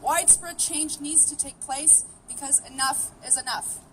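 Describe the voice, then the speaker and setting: A woman's voice: high voice, normal speed, heavy background noise. A woman, who first spoke Greek, gives an earnest, determined prepared speech at an outdoor rally. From Canada. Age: 30s. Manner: earnest, steady, determined delivery. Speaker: rally speaker. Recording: voice picked up from a distance.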